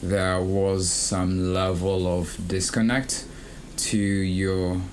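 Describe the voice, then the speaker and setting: A young man from Kenya co-hosting a student podcast, speaking thoughtfully with frequent pauses and fillers. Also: sits low, little pitch movement, slight background noise. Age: young adult. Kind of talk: casual conversation.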